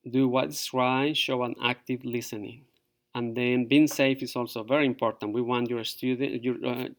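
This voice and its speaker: Middle-aged teacher, halting. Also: male voice, mid-range voice. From Peru. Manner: halting, many fillers. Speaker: fourth grade teacher. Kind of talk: virtual open house presentation to parents.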